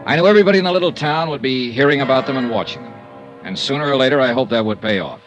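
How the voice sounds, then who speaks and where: An American actor playing a tough, shrewd insurance investigator in a 1950s radio drama, brisk and commanding. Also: male voice, medium pitch, animated, dull audio, music in the background, natural voice. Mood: terse narrating. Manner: brisk, commanding, clipped delivery. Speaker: lead actor and narrator. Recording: vintage radio recording with faint noise.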